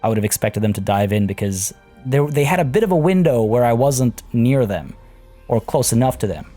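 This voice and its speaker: A man casually talking through a strategy game as a let's play host. He is slightly hoarse from losing his voice and thinks aloud with fillers. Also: medium pitch, very animated, brisk, dark tone. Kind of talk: casual gameplay commentary. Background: faint game music.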